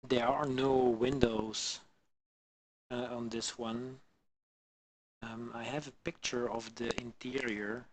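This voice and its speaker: A man from Belgium chatting casually with his live-stream viewers on a headset mic while modelling, halting and full of fillers. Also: mid-range voice, steady tone. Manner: halting, many fillers, answers chat viewers. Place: home in the Alpine region.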